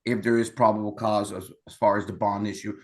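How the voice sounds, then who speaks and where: A man's voice: lowish voice, level tone, fairly quick. A man, a defense attorney, speaking formally to the court over a video call through his computer. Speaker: defense lawyer. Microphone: computer microphone on video call.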